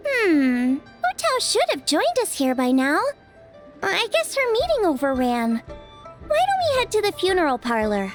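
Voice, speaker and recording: A woman's voice: extremely high pitch, wildly swooping pitch, unhurried, full character voice. A woman playing a friendly, polite and upbeat young woman in a fantasy video game. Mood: thoughtful. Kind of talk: English video game voice acting. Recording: music under the voice.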